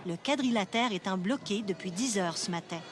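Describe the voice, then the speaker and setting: A woman narrating a television news report in a measured broadcast style. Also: medium pitch, a crowd in the background.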